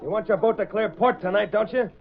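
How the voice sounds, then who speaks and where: An American actor playing a tough, combative dock gang boss in vintage radio drama, barking slangy lines. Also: male voice, high-pitched, fast talker, gravelly. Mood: defiant. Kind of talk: 1930s-40s radio drama acting. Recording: thin, muffled old radio recording.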